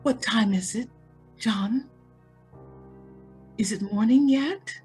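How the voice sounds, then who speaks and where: A woman's voice: a little high, slow, a little mellow, soft background music. A woman playing a carefree, sheltered rich young woman in a radio play, breezy and girlish, given to exclaiming. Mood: wistful.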